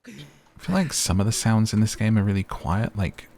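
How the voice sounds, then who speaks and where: Low, soft-spoken man doing gameplay ASMR. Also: big pitch swings, normal speed, a little hiss or hum. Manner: soft-spoken, gentle, chatty. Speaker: gaming video host. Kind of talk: relaxed ASMR gameplay commentary. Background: game music.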